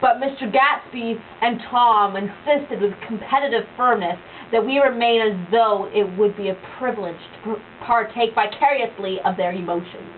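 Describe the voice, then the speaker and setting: A woman reading the narration aloud in a measured storybook manner. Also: on the high side, far from the mic. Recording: tinny lo-fi home recording with hiss.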